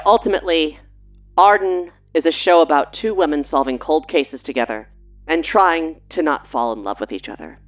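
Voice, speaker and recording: A woman's voice: sits low, narrow, tinny audio. A woman with a breezy, pushy, playful manner, giving casual promotional banter as a guest on a mock radio show. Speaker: guest showrunner and writer.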